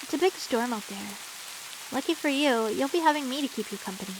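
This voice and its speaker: Woman acting a high-voiced, teasing, doting anime champion. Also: lively intonation, a little fast, noisy background. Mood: coy, doting.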